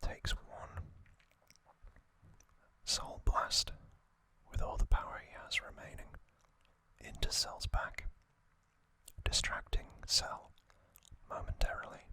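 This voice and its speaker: A man whispering an ASMR trivia recap in a breathy, unvoiced voice, deliberately, in short phrases with pauses. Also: pushed and bright. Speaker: ASMR host.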